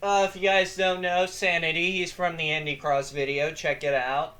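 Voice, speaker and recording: A young man hosting a lore video, reading his script in a casual, excitable way, swearing freely and breaking into yells. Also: on the high side, moderate pace.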